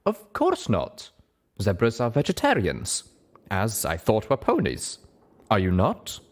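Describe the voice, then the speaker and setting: A man's voice: fairly low pitch, wildly swooping pitch, measured pace, heavily acted. A man voicing a guarded female zebra who speaks in a blunt, formal and stilted way. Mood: puzzled formality.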